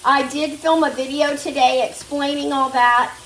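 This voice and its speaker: A woman's voice: high-pitched, steady tone, normal speed, fairly bright tone, slight background noise. A woman chatting casually to viewers while she cooks in her home kitchen, a little nasal as she gets over a fever. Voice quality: slightly nasal. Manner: chatty, talks to viewers as "guys" while working at the stove. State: recovering from a fever. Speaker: cooking vlogger. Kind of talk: casual chat while cooking. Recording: echoey kitchen, mic a bit far off. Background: sizzling meat in a skillet.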